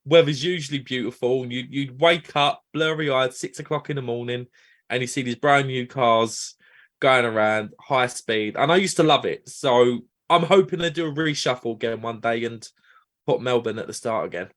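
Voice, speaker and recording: Male podcast co-host, mid pitch, relaxed and easygoing, a few ums. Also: moderate pace. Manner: relaxed, reminiscing, hesitant fillers. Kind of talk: casual podcast chat.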